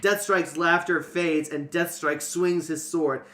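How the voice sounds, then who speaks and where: A giggly podcast reader narrates a fantasy tale in a casual, amused way. The voice is mid-pitched, with music playing behind it. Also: steady tone, slow pace. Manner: giggly, breaking into laughter. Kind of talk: reading a fantasy novel aloud with casual banter.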